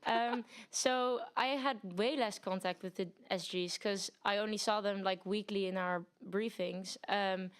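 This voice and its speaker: A woman's voice: mid-range voice, steady tone, measured pace, few pauses, clear, resonant tone. A young woman, chatting enthusiastically as a podcast guest. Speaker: sponsoring manager, podcast guest. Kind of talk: casual chat.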